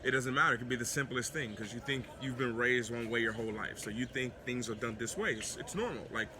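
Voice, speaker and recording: An American man with a big, loud voice, talking freely as a guest in a casual interview on a city street. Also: mid-range voice, quick pace, background noise. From United States. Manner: loud, animated storytelling. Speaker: guest, fellow video creator.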